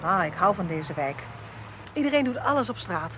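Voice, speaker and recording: A brisk, sardonic female detective in a radio drama. Her pitch swings widely as she fires off curt, dry retorts over clear street noise. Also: big pitch swings. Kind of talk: naturalistic radio play dialogue. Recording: noisy street and vehicle ambience.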